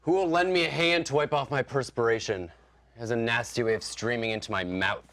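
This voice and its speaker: A woman's voice: very deep voice, brisk. A woman playing an irritable, bossy male traveling actor in a stage play, grumbling and scornful. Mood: weary grumbling. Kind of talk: theatrical stage acting.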